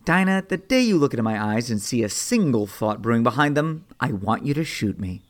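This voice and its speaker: A man playing a wry, lovestruck 38-year-old vigilante hero who narrates his story in a superhero audio drama, with a husky, self-deprecating delivery. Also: mid-range voice, big pitch swings, a little fast, natural voice. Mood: playful, dry.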